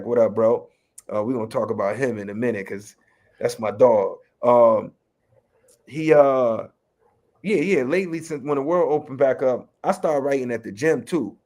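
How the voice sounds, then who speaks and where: A man from Chicago's West Side with a local accent and a slight New York tinge, a rapper and educator speaking in a relaxed, streetwise way as an interview guest. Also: medium pitch. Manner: relaxed, streetwise, lots of "you know what I mean". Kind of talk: casual interview talk.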